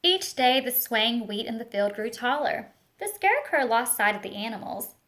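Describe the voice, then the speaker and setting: A woman reading a picture book aloud to young children, expressive and speaking to them directly with teacher-like questions and asides. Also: a little high, moderate pace. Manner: expressive storytelling, talks directly to young listeners.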